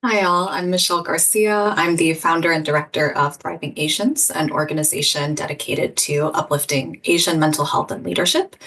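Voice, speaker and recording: A woman founder giving a clear, purposeful self-introduction on a virtual panel. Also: sits low, a bit bright, far from the mic.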